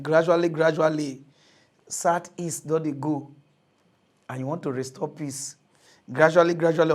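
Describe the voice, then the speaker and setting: A young Nigerian man hosting a mock news comedy show, ranting in a mocking, animated way and laughing often. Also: on the high side. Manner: animated, mocking, laughs often, switches to loud excited outbursts. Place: studio with green screen.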